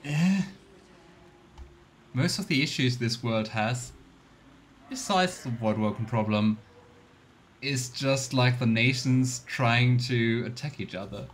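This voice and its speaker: A man's voice: medium pitch, slow, long pauses, a little hiss or hum. A man giving casual, musing let's play commentary on a role-playing game, with a wry, sardonic manner. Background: game music.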